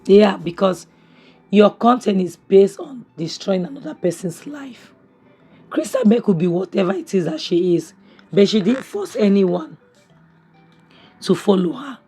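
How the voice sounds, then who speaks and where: Lady reviewing a show at home, voice gone raspy from a cough, chatty and opinionated, lots of "you know". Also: mid-range voice, lively intonation, unhurried, long pauses, warm tone, soft background music. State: hoarse from a cough, losing her voice. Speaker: series review vlogger. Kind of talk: casual spoken review recap. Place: home, near a kitchen appliance.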